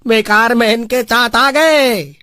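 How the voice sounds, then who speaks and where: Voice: mid-range voice, slow, pushed and bright, dry recording, clean studio sound. An actor voicing a cowardly, panicky villager in a cartoon story. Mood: panicked. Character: cowardly villagers begging to flee.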